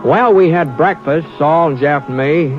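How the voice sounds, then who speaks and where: Man voicing a calm steady frontier rancher, soothing drawl, narrates, dull old broadcast, faint music. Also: fairly high pitch, moderate pace, natural voice. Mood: narrating. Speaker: narrator and lead. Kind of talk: 1950s radio drama acting.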